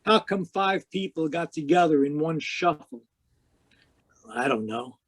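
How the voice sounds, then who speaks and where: A man's voice: fairly high pitch, animated, a little slow, dry recording. A man playing a cynical, world-weary private eye in 1940s radio style, with a terse, clipped manner. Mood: cynical recounting. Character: hard-boiled male private eye, wry and cynical. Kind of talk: 1940s radio detective acting and narration.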